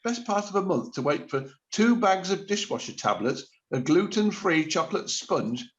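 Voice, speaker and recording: A man's voice: high-pitched, big pitch swings, normal speed, muffled recording. A man acting a sardonic, complaining shopper stuck in a queue in a radio comedy play. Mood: sardonic grumbling. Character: grumbling, sardonic man waiting in a shop queue.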